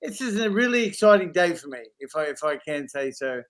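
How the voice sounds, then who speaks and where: A man's voice: fairly high pitch, sing-song, fairly quick, a bit bright. A man hosting a live online launch, introducing guests in a casual, upbeat way with plenty of fillers over a video call. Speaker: host. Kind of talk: live stream chat.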